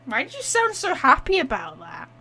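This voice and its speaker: A woman giving casual, expressive gameplay commentary as a streamer, teasing and informal. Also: sits high, moderate pace. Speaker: streamer reacting to a game story.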